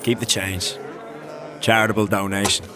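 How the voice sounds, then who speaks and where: An actor playing a brash, sardonic, hard-drinking warrior in an audio drama, blunt, boisterous and sweary. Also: male voice, fairly low pitch, level tone, moderate pace, music in the background, close to an everyday voice.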